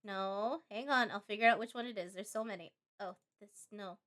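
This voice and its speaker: A woman's voice: medium pitch, moderate pace, fairly bright tone. A woman game streamer chatting casually and reactively, reading on-screen text aloud and muttering asides to herself.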